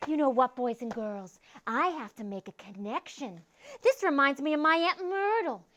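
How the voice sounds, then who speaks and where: A woman acting as an expressive schoolteacher talking to her young class in an exaggerated, theatrical way during a read-aloud skit. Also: high voice, moderate pace, muffled recording, a little hiss or hum. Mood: playful.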